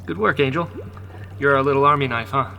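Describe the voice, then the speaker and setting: A woman playing a gentle, caring rebel who talks softly and stammers when uneasy. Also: very deep voice, a little fast, creaky, a little hiss or hum, heavily acted. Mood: steady. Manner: soft, hesitant, stammering. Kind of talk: audio drama acting.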